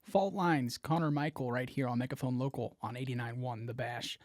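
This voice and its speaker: A man's voice: medium pitch, fairly quick, dry recording, studio quality. A man presenting a local music radio show in a casual DJ style.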